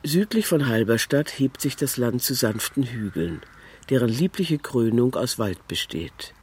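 A man's voice: medium pitch, lively intonation, a little slow, a little mellow, husky. A man reading out scripted, descriptive literary prose as if from a document. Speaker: reader of quoted documents.

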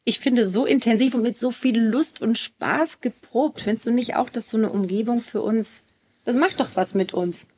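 A woman who plays in an orchestra, interviewing a guest in a lively, chatty way. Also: a little high, normal speed, a little hiss or hum. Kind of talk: casual interview questions.

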